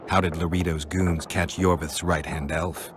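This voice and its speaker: An actor playing a calm, gruff monster-hunting mercenary in a video game, speaking in a terse, restrained, dry way. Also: male voice, deep voice, normal speed, background noise, close to an everyday voice. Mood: probing. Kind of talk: video game cutscene acting.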